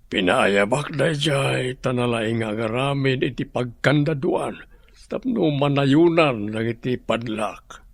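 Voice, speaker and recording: A man's voice: medium pitch, a little slow, creaky, no room sound. A man with an Ilocano accent acting in a radio drama as the ailing master of a big house, who gives firm orders. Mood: stern. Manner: commanding, giving orders.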